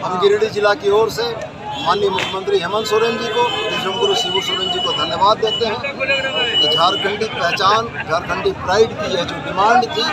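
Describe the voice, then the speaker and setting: Very high-pitched man speaking amid crowd noise. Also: steady tone, moderate pace. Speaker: local political leader. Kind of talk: public political speech. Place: outdoor street gathering. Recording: noisy outdoor crowd and traffic.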